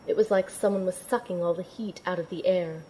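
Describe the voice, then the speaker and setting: A woman's voice: fairly low pitch, steady tone, a little fast, warm tone, slight background noise, natural voice, low-quality recording. An actress playing a young heroine who narrates her adventure in an earnest, dramatic first-person style. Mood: suspenseful.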